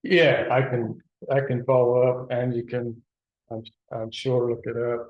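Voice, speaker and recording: A man leading a town board meeting, talking haltingly with many restarts. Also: medium pitch, little pitch movement. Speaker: presiding board trustee. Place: town hall meeting room. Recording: dull lo-fi meeting recording.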